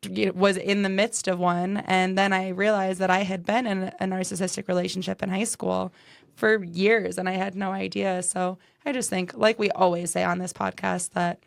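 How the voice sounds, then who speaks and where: A woman's voice: medium pitch, level tone, normal speed, creaky, no echo. A woman co-hosting a podcast in a casual, conversational style. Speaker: podcast co-host.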